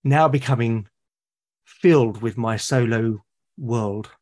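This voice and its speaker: A man's voice: mid-range voice, takes it slowly, darkish tone. A British man, a podcast guest, talking in a reflective, casual way and often restarting and repeating words mid-sentence. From Britain.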